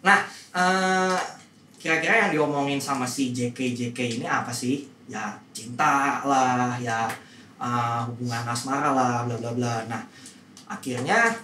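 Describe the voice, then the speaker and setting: A man with a bright, mid-pitched voice explains things in casual conversation. The recording is echoey and picks him up from some distance. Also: measured pace. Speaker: podcast panelist. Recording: echoey, distant mic, faint noise.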